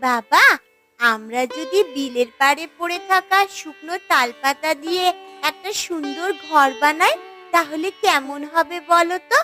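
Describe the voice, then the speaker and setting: A sweet little village daughter voiced by a woman in an animated tale. She is earnest and hopeful, bright in tone and slow-paced. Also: high voice, soft background music. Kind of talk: Bengali animated folktale dubbing.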